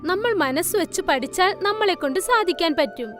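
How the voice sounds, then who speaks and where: A woman voicing the mothers and girls in a cartoon story, speaking in a clear, coaxing way. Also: very high-pitched, animated, normal speed, fairly bright tone, faint music behind. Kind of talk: cartoon voice acting.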